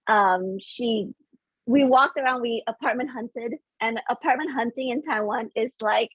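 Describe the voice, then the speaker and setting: A woman guest whose first language is Indonesian, friendly and laughing often in a relaxed chat over a video call. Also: a little high, unhurried, husky, no echo. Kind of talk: casual interview chat. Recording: muffled lo-fi stream audio.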